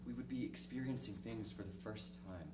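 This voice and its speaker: A man acting a lonely, cynical middle-aged diarist in a dramatic stage reading, speaking reflectively and ruefully with halting pauses. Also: on the low side, natural voice. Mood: wistful, longing. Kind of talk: dramatic monologue in a one-act play reading. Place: small theater stage, recorded from the audience distance. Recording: distant, echoey stage recording with noisy hum.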